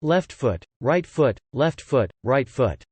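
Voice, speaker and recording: Man reading English examples, lively pitch. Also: takes it slowly. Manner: clear, careful model reading. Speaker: English teacher. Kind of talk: reading word definitions and example sentences.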